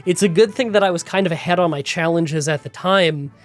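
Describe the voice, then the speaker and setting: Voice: mid-range voice, fairly quick, faint music behind. Nasal, congested gaming YouTuber. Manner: chatty, rambling storytelling. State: congested from a cold. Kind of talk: game challenge voiceover recap. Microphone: close voiceover mic.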